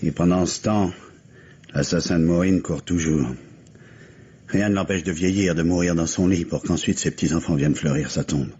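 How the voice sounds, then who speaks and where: An actor playing a grave, bitter widower in a French mystery radio play, with measured, dramatic delivery. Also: male voice, deep voice, warm tone, natural voice. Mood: bitter, tense. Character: grieving, bitter New York widower hunting his wife's killer. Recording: dull, hissy vintage radio recording.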